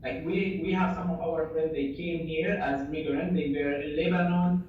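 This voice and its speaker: A man's voice: a little high, fairly even intonation, normal speed, narrow, tinny audio, slight background noise. A young man from Syria, speaking English as an in an earnest, casual interview, full of fillers and restarted phrases. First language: Arabic. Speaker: interviewee, refugee and former assistant doctor. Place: home in Germany. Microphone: video call. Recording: echoey distant video-call audio.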